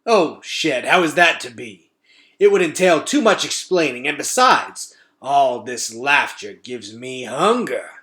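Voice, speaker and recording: Man voicing a smooth, sly, gloating giant rat. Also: mid-range voice, sing-song, pushed and bright. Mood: teasing, regretful. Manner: theatrical, drawn-out, mock-courtly phrasing. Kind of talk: children's storybook read-aloud.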